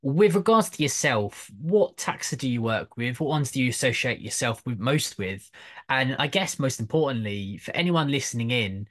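A man's voice: mid-range voice, animated, moderate pace, a bit bright. A man hosting a podcast interview, speaking conversationally with enthusiastic, wordy questions. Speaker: podcast host.

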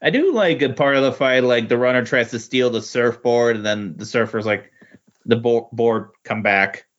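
A man chatting casually about comics on a podcast, halting and repeating words as he thinks. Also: mid-range voice. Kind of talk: casual comic book discussion. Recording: dull lo-fi voice recording.

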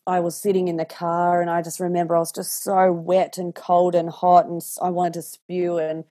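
Woman, 30s, Australian accent, lower voice, animated, candid, casual storyteller. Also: normal speed. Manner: animated, candid storyteller who swears freely and acts out voices. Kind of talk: casual podcast chat.

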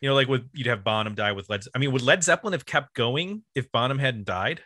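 A man hosting a casual music discussion, thinking aloud in a halting, conversational way. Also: medium pitch, expressive, pushed and bright, no echo, clean studio sound. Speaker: podcast host.

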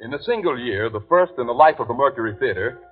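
A man's voice: medium pitch, animated, fast talker. A man making a formal, stately 1930s radio network announcement. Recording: tinny 1930s radio recording with music.

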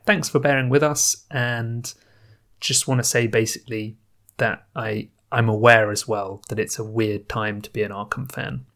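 A man talks to his listeners in a relaxed, chatty way, thinking aloud as he goes and trailing off now and then. He records alone at home on a podcast microphone. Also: medium pitch, creaky. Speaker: podcast host. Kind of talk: casual solo podcast intro. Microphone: new home podcast microphone setup.

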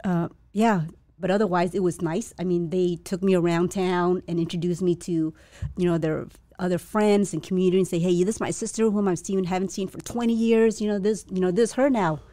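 A woman's voice: lowish voice, fairly even intonation, fairly quick, warm tone, dry recording. A young woman born to a Chinese family in Vietnam, speaking as an interview guest in a hesitant, reflective way with many restarts. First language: a Chinese dialect. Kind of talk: casual interview.

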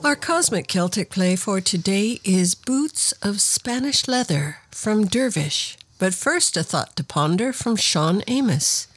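A woman's voice: medium pitch, lively intonation, measured pace, few pauses, slightly rough. A woman hosting a music show, chatty and light-hearted, introducing tracks with self-deprecating asides. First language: English. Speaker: radio show host. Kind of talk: casual show presenting.

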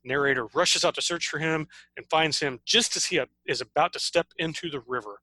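Guy recapping a book on a podcast, bright edgy voice, dry close mic, playful. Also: fairly high pitch, fairly quick, dry recording, poor audio. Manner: playful, summarizing plots, teasing. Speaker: podcast co-host. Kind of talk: casual book summary and chat.

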